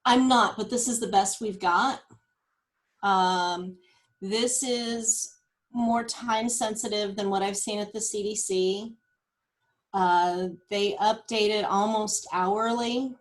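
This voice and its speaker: A woman presents information in an informal, unscripted way. Her pace is very unhurried and her pitch sits in the middle. She halts and thinks aloud as she works through it. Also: fairly even intonation. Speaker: guest presenter explaining data; cancer research nurse. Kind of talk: informal data walkthrough.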